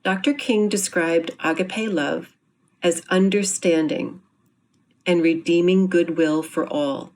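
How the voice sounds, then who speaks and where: Woman with a lowish voice, formal presenter reading a prepared introduction in measured phrases. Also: fairly even intonation, a little mellow. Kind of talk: scripted explanatory reading.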